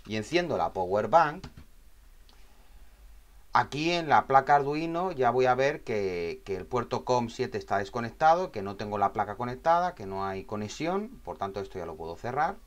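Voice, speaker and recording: A man's voice: medium pitch, big pitch swings, moderate pace. A man instructing in an informal, explanatory tutorial style, often checking in with short tag questions. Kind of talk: informal spoken screen tutorial.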